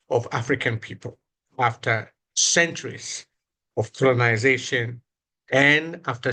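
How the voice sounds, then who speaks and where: A man from northern Ghana with a Ghanaian accent, giving a slow, reflective prepared talk at a commemoration event, lifting his voice on key words. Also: mid-range voice, a bit bright. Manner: slow, deliberate, with emphatic rises on key words.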